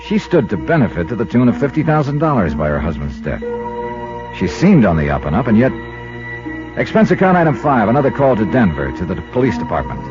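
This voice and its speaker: An actor playing a dry, world-weary insurance investigator in 1950s radio detective style, clipped and deadpan, with an American accent. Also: male voice, lowish voice, quick pace. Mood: brooding, matter-of-fact. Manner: clipped, hard-boiled, deadpan. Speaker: lead actor and narrator of a detective series. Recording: muffled 1950s radio recording, music behind.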